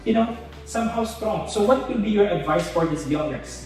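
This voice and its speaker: A man who is a nurse, interviewing a colleague and warmly echoing her answers back as she speaks. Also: high voice, animated, moderate pace, faint music behind. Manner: encouraging, echoes answers back. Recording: echoey room with long reverb, distant mic.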